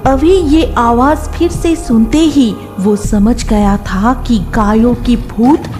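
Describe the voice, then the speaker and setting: A woman's voice: fairly high pitch, slow, music in the background. A woman narrating a scripted horror cartoon story.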